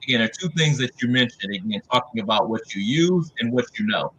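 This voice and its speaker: A man in his forties, a financial advisor giving a webinar talk in a measured way, often starting with "again". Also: mid-range voice, animated, fairly bright tone, muffled recording. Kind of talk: webinar presentation.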